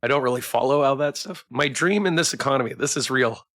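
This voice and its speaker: A man's voice: medium pitch, quick pace, a bit bright. A man casually reading a visual novel aloud and commenting as he plays on a stream.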